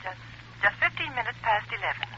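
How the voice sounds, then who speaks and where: An American actress playing an officious nurse registry clerk, formal and politely unmoved, in a 1940s radio drama. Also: female voice, fairly high pitch, animated, brisk, pushed and bright. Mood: politely impatient. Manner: formal, clipped, businesslike. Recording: noisy, muffled old radio recording.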